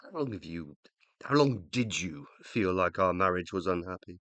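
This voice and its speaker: An actor playing a hurt, jealous husband who lives on as a computer consciousness in a radio play, brooding, sarcastic and defensive. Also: male voice, low-pitched, expressive, normal speed, fairly bright tone, no echo.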